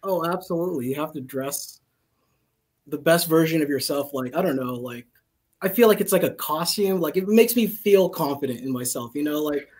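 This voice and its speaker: A man appearing as a podcast guest over a video call from a small home room, relaxed and rambling, full of "like" and "you know". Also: a little high, moderate pace. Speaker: guest archer. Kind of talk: casual video-call chat. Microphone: video call microphone.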